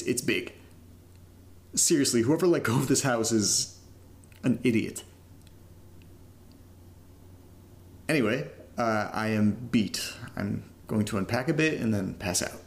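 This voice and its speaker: Creaky-voiced young man keeping audio diary. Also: lowish voice, darkish tone. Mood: amazed, excited. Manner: informal, rambling, sweary, self-deprecating. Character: casual, upbeat young man documenting a creepy new house. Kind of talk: found-footage horror audio drama, casual audio diary.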